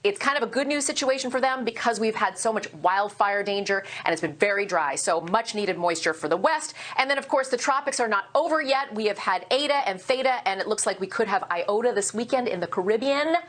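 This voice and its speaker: Woman weather presenter, brisk and chatty, morning TV forecast. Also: medium pitch, fairly bright tone. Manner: brisk, conversational.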